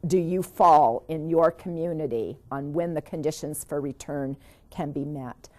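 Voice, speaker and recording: Woman presenting a court training. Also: fairly low pitch. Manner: steady, with many "uh" fillers and a run of questions to the audience.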